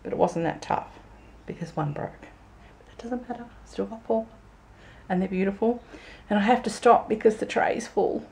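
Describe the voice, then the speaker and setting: A young woman chatting casually to camera in a vlog, croaky from a sore throat and rambling in a self-amused way. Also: medium pitch, moderate pace, long pauses, a little mellow. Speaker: vlogger.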